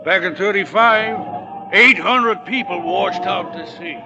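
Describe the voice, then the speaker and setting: A man's voice: sits high, slow pace, background noise. An actor playing a righteous, defiant old man in a 1940s radio drama, solemn and declamatory. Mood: grave warning. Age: older. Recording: dull 1940s radio recording, loud music.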